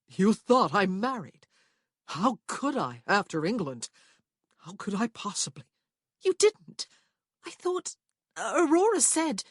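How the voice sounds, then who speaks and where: A woman's voice: mid-range voice, sing-song, takes it slowly, pauses often, husky, no echo, studio quality, pitched above the performer's own voice, exaggerated voice. A woman putting on a tender, breathless young lady. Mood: distraught.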